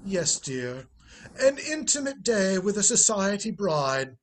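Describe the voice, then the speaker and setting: A man's voice: sits high, sing-song, slow pace, heavily acted. Man playing a suave, teasing ex-husband, faint hiss. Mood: sly, needling. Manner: breezy, wry, needling. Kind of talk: 1940s radio comedy acting.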